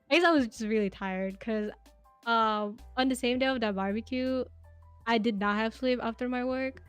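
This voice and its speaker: A woman's voice: fairly high pitch, moderate pace, clean tone, faint music behind. A woman in her twenties, a podcast guest chatting casually, telling rambling stories with lots of fillers and giggles. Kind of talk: casual interview chat.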